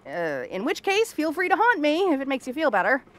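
A woman's voice: high-pitched, huge pitch swings, a little fast, a bit bright, heavily acted. A woman playing a cranky, tomboyish young frog-girl princess in a fantasy roleplay, sarcastic and haughty. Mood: brisk, dry. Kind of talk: scripted fantasy ASMR roleplay.

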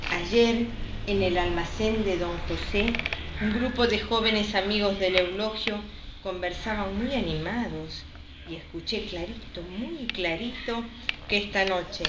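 A woman's voice: medium pitch, reverberant, close to an everyday voice. Woman as Argentine village gossip, dramatic and reluctant, radio-soap role, muffled noisy recording.

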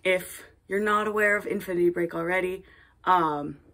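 A woman's voice: fairly low pitch, normal speed, fairly bright tone. A young woman giving casual, rambling commentary as a video creator, playful and self-deprecating.

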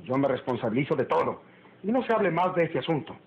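Stern landowner in a noisy old recording. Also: male voice, on the high side, sing-song, fast, muffled recording. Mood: authoritative. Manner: commanding, emphatic. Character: stern authoritative landowner master of the house. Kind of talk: old radio drama acting.

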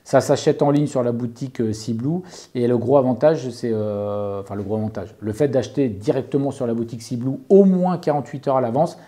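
This is a man with a very dark, mellow voice. He talks informally and methodically, like an experienced owner giving advice. He explains point by point and rephrases as he goes. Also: medium pitch, a little fast. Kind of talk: informal explanatory talk, answering an interviewer.

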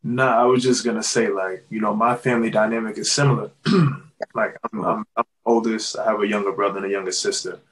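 A man in his thirties with a low voice co-hosts a podcast over a video call from home. He talks in a laid-back, thoughtful way and fills his sentences with "like" and "you know.". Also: steady tone, a little fast, a bit bright, rough recording. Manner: reflective, says "like" and "you know" often. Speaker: podcast co-host. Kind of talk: casual podcast interview.

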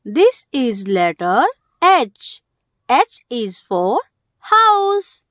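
A woman's voice: fairly high pitch, very animated, extremely slow, fairly bright tone, no room sound, clean studio sound. A woman hosting a children's educational video, speaking in simple short phrases and stressing the key words. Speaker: host of a children's alphabet video.

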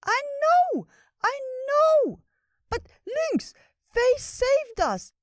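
A man voicing an excitable small squirrel creature in a storybook, shrill and breathless. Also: drawn-out words, no echo, clean studio sound, exaggerated voice. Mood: excited.

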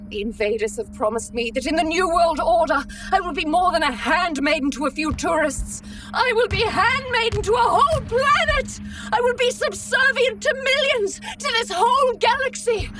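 Very high-voiced servile alien villainess. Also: female voice, recorded from a distance. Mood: manic, gloating. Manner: formal, servile, theatrically villainous. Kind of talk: science fiction comedy audio drama.

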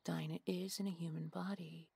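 A woman's voice: lowish voice, steady tone, normal speed, a little mellow, no echo, exaggerated voice. A woman playing a menacing, mock-polite supernatural villain in an audio drama, speaking in a taunting, falsely sweet manner. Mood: coldly menacing. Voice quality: creaky, breathy. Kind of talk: audio roleplay acting.

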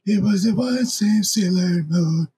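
A man's voice: high voice, measured pace, dark tone, pitched above the performer's own voice. A man voicing a young female anime heroine, singing dreamily, in poor noisy audio. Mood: dreamy. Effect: AI voice changer.

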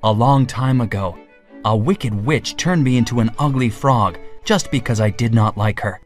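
A freed prince speaks slowly in a deep, low voice from a children's storybook, put on by a woman narrator. His telling is solemn and grateful. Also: a little mellow, soft background music.

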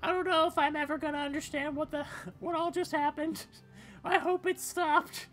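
Woman, high voice, game player performing dialogue conversationally, music faintly behind. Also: steady tone, normal speed, a bit bright. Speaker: game streamer voicing characters. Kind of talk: expressive reading of game dialogue.

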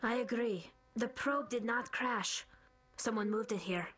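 Husky young alien crewmate. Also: female voice, on the high side, measured pace, a bit bright. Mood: wary, certain. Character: young female alien engineer, earnest crewmate. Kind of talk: video game voice acting.

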